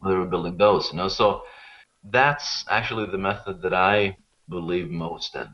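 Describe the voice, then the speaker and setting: A man's voice: sits low, moderate pace, a bit bright. A man from Sweden, explaining things informally and conversationally as an interview guest. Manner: informal, explanatory, often checking with "you know". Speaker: interview guest, local expert on Rapa Nui. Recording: dull, lo-fi call-like audio.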